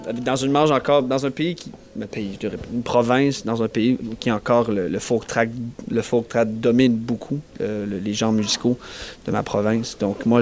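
A young man with an Acadian French accent speaks in a lowish voice. He pauses a lot and restarts phrases as he hunts for words. He talks casually, as a musician answering questions at a festival. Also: darkish tone. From New Brunswick, Canada. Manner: halting, searching for words, many restarts. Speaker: singer-songwriter guest.

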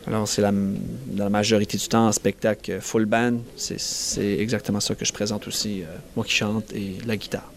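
A young man with an Acadian French accent speaks in a lowish voice. He pauses a lot and restarts phrases as he hunts for words. He talks casually, as a musician answering questions at a festival. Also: little pitch movement, gravelly. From New Brunswick, Canada. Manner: halting, searching for words, many restarts. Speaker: singer-songwriter guest.

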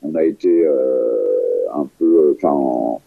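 A man's voice: a bass voice, measured pace. An older man from France, a singer-songwriter, talking in a phone interview with many fillers and restarts. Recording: muffled phone line.

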